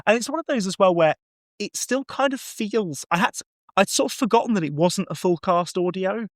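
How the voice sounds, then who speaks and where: A man's voice: high voice, expressive, brisk, dry recording, studio quality. A man talking casually and enthusiastically as a guest on a review podcast, effusive in his opinions.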